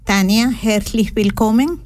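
A woman's voice: a little high, unhurried, a little mellow. A woman hosting a community radio interview from a studio microphone, hesitant and full of small restarts. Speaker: radio host.

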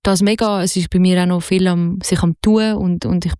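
Soft-spoken Zurich woman, hesitant. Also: fairly low pitch, fairly even intonation, normal speed, smooth, dry recording, studio quality. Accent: Zurich Swiss German. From Zurich, Switzerland. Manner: hesitant, many fillers and restarts. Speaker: singer-songwriter guest. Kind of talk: casual interview.

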